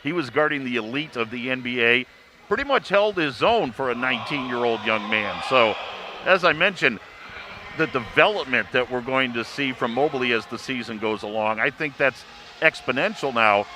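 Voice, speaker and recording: Male play-by-play announcer on a panel, mid-pitched, upbeat and smooth-flowing delivery, noticeable background noise. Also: animated, moderate pace, bright, forceful tone. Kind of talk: sports analysis on a live broadcast.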